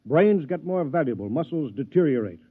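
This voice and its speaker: A man's voice: fairly high pitch, expressive, normal speed, slightly rough. An actor playing a wealthy, middle-aged father who talks down to people with cold, needling deliberation in a radio drama. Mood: condescending, stern. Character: arrogant, rich middle-aged father, coldly belittling. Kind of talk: 1950s radio drama acting. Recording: thin, phone-like vintage radio recording.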